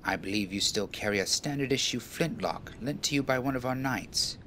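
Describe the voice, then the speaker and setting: A man's voice: mid-range voice, fairly quick, fairly bright tone, a little hiss or hum, natural voice. A man playing a stern, suspicious naval officer, formal and condescending, in a game fan dub.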